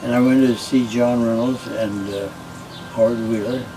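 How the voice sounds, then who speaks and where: A man's voice: steady tone, moderate pace, music in the background. An older man casually telling stories from his past, quoting conversations as he goes. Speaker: former children's TV host. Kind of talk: casual storytelling. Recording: distant mic, loud vehicle-like background rumble.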